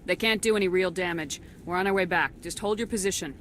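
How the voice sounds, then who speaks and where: A woman's voice: fairly low pitch, quick pace, a bit bright, natural voice. Woman acting tough space commander lady in a video game, firm and bossy, bit of background noise. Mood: firm, urgent.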